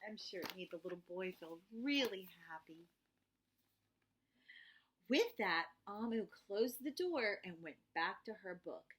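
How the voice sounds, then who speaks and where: A woman reading a children's picture book aloud expressively, with dramatic pauses and drawn-out phrases. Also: medium pitch, lively intonation, fairly bright tone, slightly rough, poor audio.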